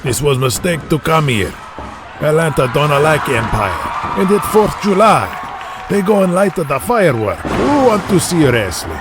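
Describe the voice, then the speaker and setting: Wrestling sidekick, exasperated, lively pitch swings, dropped articles, audio drama. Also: male voice, measured pace, very noisy. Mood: grumbling. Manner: broken English, dropped articles, blunt. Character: blunt, exasperated foreign wrestler, right-hand man.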